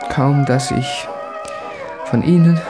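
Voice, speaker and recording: An actor playing an anguished, introspective writer in a literary radio drama, confessing in a halting, brooding way. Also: male voice, mid-range voice, steady tone, music in the background, lightly acted. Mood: nervous, formal. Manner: slow, halting, brooding, with deliberate pauses.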